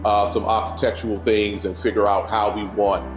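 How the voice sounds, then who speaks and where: Male testimonial, relaxed, echoey room, music behind. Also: lowish voice, normal speed.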